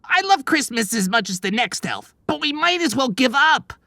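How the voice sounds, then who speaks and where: Audiobook elf sidekick: little wisecracker, high and creaky, skeptical, brash. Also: male voice, sing-song, normal speed, bright, forceful tone, dry recording, clean studio sound, exaggerated voice. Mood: exasperated, skeptical. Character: cocky, wisecracking, skeptical small male elf.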